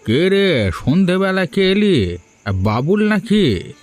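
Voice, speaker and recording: A man's voice: high voice, sing-song, slow pace, faint music behind, full character voice. Man voicing a curious, kindly village uncle. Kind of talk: Bengali moral-story cartoon dubbing.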